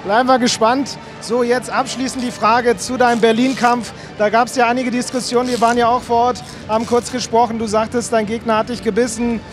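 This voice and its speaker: High, flat-voiced male interviewer. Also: brisk, few pauses, music in the background. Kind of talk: sports interview questions. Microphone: handheld interview mic.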